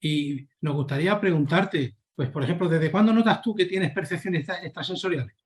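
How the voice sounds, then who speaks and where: A male co-host introduces a guest and asks his first question in a polite, slightly hesitant way. His voice sits a bit high for a man. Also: fairly quick. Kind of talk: hosting an interview.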